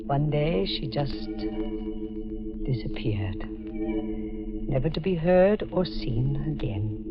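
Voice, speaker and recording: Slow, brooding old woman, old radio. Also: low-pitched, heavily acted. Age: older. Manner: slow, grave, dramatic. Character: brooding old woman, last of a doomed family. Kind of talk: 1940s radio acting. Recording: dull lo-fi 1940s radio recording.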